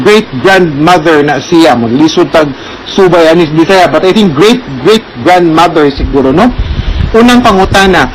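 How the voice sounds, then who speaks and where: A man's voice: high voice, slight background noise, low-quality recording. A man with a Filipino accent giving advice as a programme host, explaining conversationally, switching into English and adding frequent check-in tags.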